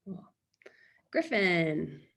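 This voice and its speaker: A woman's voice: on the low side, big pitch swings, drawn-out words, creaky, fairly bright tone, husky. A woman teacher leading class introductions over a video call, giving short, encouraging prompts.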